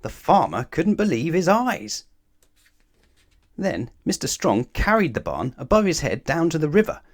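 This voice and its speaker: A man reading a children's story aloud in an expressive, animated way, with emphatic phrasing aimed at the listener. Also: fairly high pitch, a little slow. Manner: animated, asks the listener questions, emphatic repetition.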